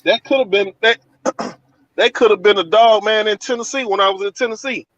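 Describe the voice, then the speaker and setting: Storyteller, slightly high male voice, dry muffled call audio, colloquial and animated. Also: fairly quick, no room sound. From Georgia. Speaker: guest telling an encounter story. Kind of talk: casual storytelling over a call. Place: sitting in his van.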